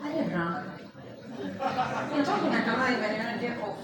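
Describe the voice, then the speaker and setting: A woman's voice: on the high side, expressive, normal speed, crowd noise, natural voice. An actress playing an exasperated wife on a live stage, sarcastic and mocking toward her husband. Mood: teasing. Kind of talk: live stage play acting. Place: theatre stage. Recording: echoey hall, far mic, audience noise.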